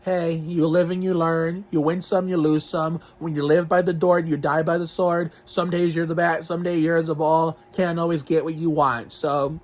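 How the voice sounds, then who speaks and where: A man's voice: on the high side, level tone, normal speed, few pauses. A young man from Northeast Ohio giving a rambling health update on his channel, recovering from a cold with a lingering cough, restarting sentences often and filling gaps with "you know" and "like". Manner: rambling, with frequent restarts and fillers. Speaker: vlogger.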